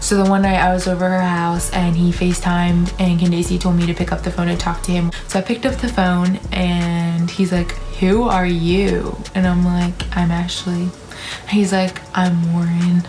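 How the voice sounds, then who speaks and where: A woman's voice: lowish voice, flat delivery, moderate pace, a little mellow, soft background music. A woman in her twenties, a young mother, chatting candidly and teasingly in a casual couple Q&A. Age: 20s. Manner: candid, teasing, says "like" a lot.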